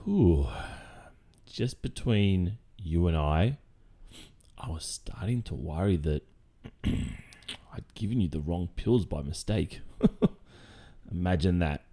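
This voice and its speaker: A man with an Australian accent playing an obsessive, creepily cheerful kidnapper boyfriend in an intimate ASMR roleplay, halting and falsely reassuring, with nervous chuckles. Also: low voice, lively intonation, mellow, exaggerated voice. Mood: relieved, awkwardly amused.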